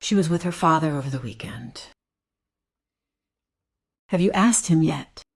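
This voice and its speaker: A woman playing a brittle, guarded grieving mother in a crime audio drama, hushed and halting, with a breathy, creaky voice. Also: sits low, expressive, heavily acted. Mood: numb, guarded.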